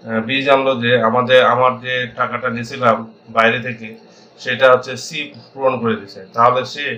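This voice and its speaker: A Bangladeshi man teaches in an informal tutorial style. His voice is medium-pitched with a sharp, bright edge. He feels his way haltingly through each explanation and drops in English technical terms. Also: rough recording. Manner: halting, thinking aloud, mixing in English accounting terms. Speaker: accounting instructor.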